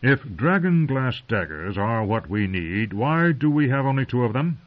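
A man's voice: medium pitch, big pitch swings, normal speed, voice pushed up, lightly acted. A man voicing a stern, impatient old male commander who growls and scorns. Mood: stern lecturing. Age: older. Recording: muffled lo-fi recording.

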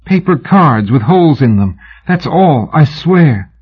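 A man's voice: a little high, sing-song, unhurried, breathy edge, dull audio, voice pushed up, heavily acted. A man reading an audiobook, voicing a frightened young British woman who pleads desperately and breathlessly. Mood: pleading. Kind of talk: audiobook character voice.

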